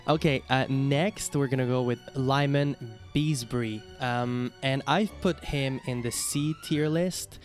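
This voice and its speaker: A man's voice: mid-range voice, a little slow, few pauses, soft background music. A man from Quebec whose first language is French, speaking English with a Québécois French accent as a YouTube host, talking casually and hesitantly with many fillers. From Quebec, Canada. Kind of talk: casual ranking commentary.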